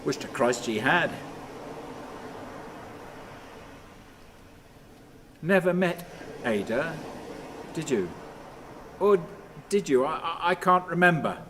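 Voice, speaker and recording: An actor playing a bitter, brooding man haunted by memories, rambling and halting in a radio play monologue. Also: fairly high pitch. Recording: noisy, steady ambient sound behind.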